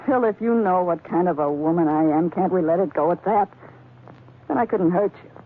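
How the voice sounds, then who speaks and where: A woman's voice: lowish voice, brisk, close to an everyday voice. A woman playing a desperate, seductive femme fatale, pleading and manipulative, in 1940s radio melodrama style. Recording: tinny, noisy vintage radio recording.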